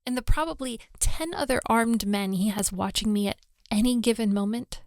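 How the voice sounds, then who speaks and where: A woman's voice: on the high side, moderate pace, darkish tone, no echo, studio quality, lightly acted. A woman playing a hot-tempered, lovestruck young daughter of a mafia boss in a girlfriend roleplay audio drama, exasperated and scolding. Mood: frustrated, bossy. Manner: scolding, exasperated, with sighs and breathy asides.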